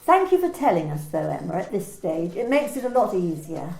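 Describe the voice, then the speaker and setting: A woman playing a coolly clinical office manager in an amateur radio play, asking personal questions in a calm, matter-of-fact, bureaucratic way. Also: fairly low pitch, brisk, gravelly, roomy sound, low-quality recording. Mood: businesslike.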